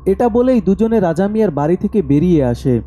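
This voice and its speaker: A man's voice: high-pitched, big pitch swings, brisk, dark tone. A man narrating a scripted horror story as its storyteller.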